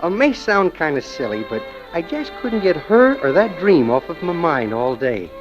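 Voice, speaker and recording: A man's voice: fairly high pitch, very animated, normal speed. An actor with an American accent playing a smitten, obsessive bank clerk who narrates his own story in a 1940s radio drama. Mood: brooding, confiding. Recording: muffled old radio recording with music.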